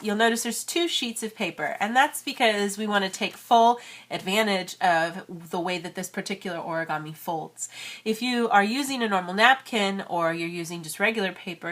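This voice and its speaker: A woman's voice: mid-range voice, normal speed, a bit bright. Woman with a cold, casual craft tutorial host, chatty, friendly. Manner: chatty, friendly, many fillers. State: has a cold. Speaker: origami crafter and channel host.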